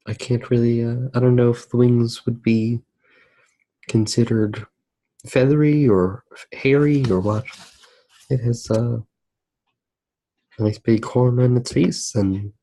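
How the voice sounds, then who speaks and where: A man speaks very slowly in a mellow, dark tone with plenty of gravelly fry. He is soft-spoken in an ASMR style, hesitating and leaving pauses mid-sentence as he narrates. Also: mid-range voice, rough recording. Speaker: ASMR art video host.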